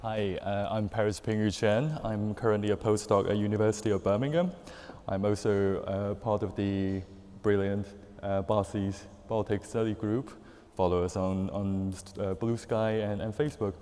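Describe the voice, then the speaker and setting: Panelist, man from taiwan, mellow low voice, halting delivery, reverberant hall. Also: fairly even intonation. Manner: hesitant, many fillers and restarts. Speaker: postdoctoral researcher, panelist. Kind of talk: academic panel talk.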